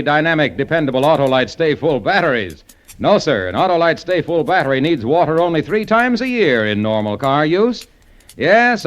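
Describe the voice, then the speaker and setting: A man's voice: on the high side, a bit bright. A man reading a 1950s radio sponsor commercial in a bouncy, salesy, emphatic way. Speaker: commercial announcer.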